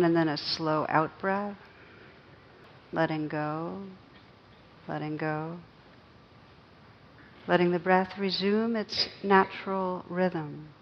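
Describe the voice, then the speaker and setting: A woman teaching guided meditation, speaking gently and unhurriedly with long pauses between phrases. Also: lowish voice, muffled recording, slight background noise.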